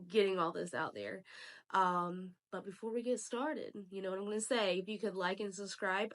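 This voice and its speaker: A woman who is sick and a little raspy, talking casually and emphatically as she gives advice as a realtor and channel host. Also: medium pitch, a bit bright. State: sick, slightly hoarse. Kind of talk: casual talk to camera, advice. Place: at home, indoors.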